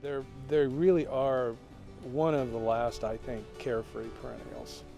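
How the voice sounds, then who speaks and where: A man's voice: medium pitch, a little slow, vocal fry, mellow, faint music behind. A man talking enthusiastically about growing daylilies in an interview. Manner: enthusiastic, chatty, laughing. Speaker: daylily grower.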